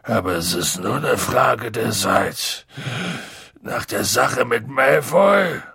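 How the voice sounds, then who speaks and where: A man voicing a huge, tipsy, emotional gamekeeper in an audiobook, with a gruff, slurred voice and colloquial speech. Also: mid-range voice, lively intonation, slow pace, sharp tone, no room sound, clean studio sound, exaggerated voice. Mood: slurred, glum. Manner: colloquial, dropping words, swinging into bellows.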